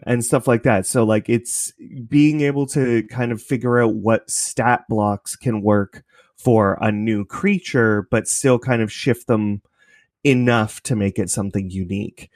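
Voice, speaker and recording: A man's voice: lowish voice, a little mellow, no echo, clean studio sound. A man from Canada talking casually and informally as an interview guest, thinking aloud as he explains his creative work.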